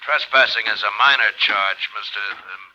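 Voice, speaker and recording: A man's voice: lowish voice, normal speed, pushed and bright. An actor in an old radio suspense drama playing a curt, unsympathetic man answering on the telephone. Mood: brusque, official. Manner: brusque, unsympathetic. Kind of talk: 1940s radio suspense acting. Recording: dull, thin, hissy old radio recording.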